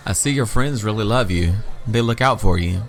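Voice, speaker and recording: A man voicing a laid-back, courteous male suitor on a date in an audio story, low and easygoing. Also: normal speed, soft background music, natural voice. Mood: courteous, interested.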